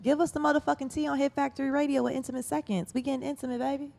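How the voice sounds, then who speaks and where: A woman's voice: sits high, little pitch movement, rapid-fire, gravelly, a little mellow. A woman hosting a radio interview in casual, flirty banter, playful and brash, with plenty of slang and swearing. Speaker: radio show host interviewing an artist.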